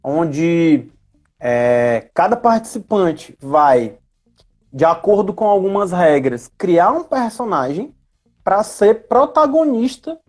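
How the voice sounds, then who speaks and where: A man's voice: sits high, lively intonation, slow pace. A man in his thirties with a Northeastern Brazilian accent, explaining things in a casual live chat, often checking in with little tag questions. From Ceará, Brazil. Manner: chatty, frequent tag questions seeking agreement. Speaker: guest, history teacher and educational coordinator.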